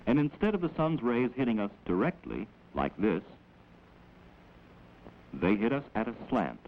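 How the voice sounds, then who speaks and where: A man with an American accent gives measured, instructive scripted narration in the manner of a 1940s educational film, on an old film soundtrack. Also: fairly low pitch, very animated. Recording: dull, noisy old 16mm film soundtrack.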